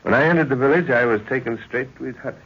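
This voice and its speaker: A man's voice: mid-range voice, fast talker, fairly bright tone, a little hiss or hum, lightly acted. An actor playing an older professor and explorer, fatherly and earnest, speaking formally in an old-time radio drama. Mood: reflective. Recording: dull vintage radio recording.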